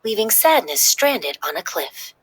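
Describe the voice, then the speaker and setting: A woman narrating a children's story in an expressive, dramatic read-aloud style. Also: medium pitch, unhurried, breathy edge.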